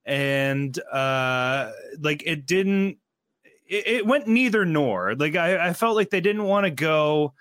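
Brief: hesitant male panelist, somewhat high, animated pitch. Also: slow pace, no room sound, studio quality. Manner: hesitant, lots of fillers and trailing off. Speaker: podcast panelist. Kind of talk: casual roundtable chat.

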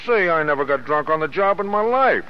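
Man acting a scheming crook with animated pitch. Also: a little fast, clear, resonant tone, natural voice. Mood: aggrieved, ranting. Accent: American. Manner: griping, insistent. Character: scheming, resentful, slangy small-time crook, Bragg. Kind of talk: 1930s film acting. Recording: dull old film soundtrack.

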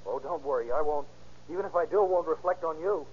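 An actor playing an intense, jealous and desperate young boyfriend in old-time radio drama style. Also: male voice, on the high side, fast talker, breathy edge. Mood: subdued. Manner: intense, pleading or bullying. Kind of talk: 1930s-40s radio drama acting. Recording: muffled, noisy old-time radio recording.

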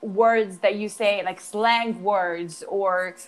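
A woman's voice: medium pitch, fairly even intonation, slow, poor audio. A young woman with an American accent, an actor who teaches English, talking casually and hesitantly with many restarts and fillers. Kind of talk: casual chat.

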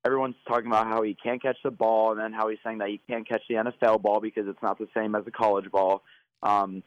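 A man co-hosting a sports podcast by phone, hoarse from yelling, talking casually with many fillers. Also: on the low side, no room sound. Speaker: podcast co-host. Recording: phone line.

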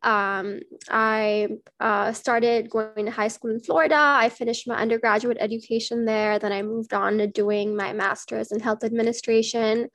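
A young woman from Pakistan speaks as an interview guest. Her voice sits a little high, and she talks earnestly, pausing often to gather her thoughts. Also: fairly even intonation, a bit bright. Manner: earnest, many "um" pauses. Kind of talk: casual interview answers.

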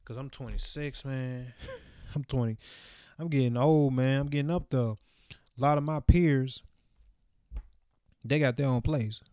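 A man's voice: medium pitch, measured pace, pauses often, dark tone, smooth, no room sound, studio quality. A man in his twenties talking to camera in a loose, reflective monologue full of fillers, recorded in a small room. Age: 20s. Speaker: vlogger. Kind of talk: casual rambling monologue.

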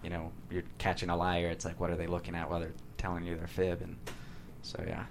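A man's voice: deep voice, completely flat delivery, fast, gravelly, background noise. An American man, a writer being interviewed, explaining his thinking casually and thoughtfully with some hedging. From San Diego, USA.

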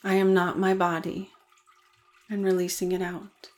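A woman leading a guided meditation in a soothing, deliberate manner with gentle pauses. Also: lowish voice, monotone.

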